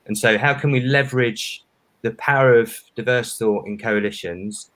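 A man's voice: on the low side, a bit bright, poor audio. A man in his thirties on a video call panel, earnestly explaining an idea with small restarts. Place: home room. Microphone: webcam on a video call.

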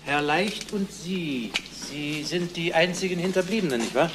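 A man acting a police detective in a crime radio play, with a slightly high voice for a man. He probes dryly and musingly, in an unhurried conversational way. Clear noise lies behind him. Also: lightly acted. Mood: measured, probing.

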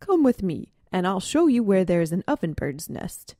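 A woman's voice: medium pitch, sing-song, normal speed, dark tone, dry recording, studio quality. A woman voicing a greedy, boastful young male skunk with an emphatic, raised voice.

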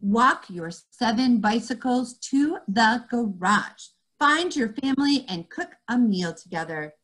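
A woman voicing a wise female rabbi who gives instructions in a measured, steady way. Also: a little high, low-quality recording. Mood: calm.

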